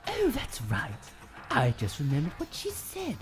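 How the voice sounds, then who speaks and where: A woman's voice: low-pitched, huge pitch swings, measured pace, faint music behind, full character voice. A woman playing a serious, observant, concerned teenager in an anime game fan dub.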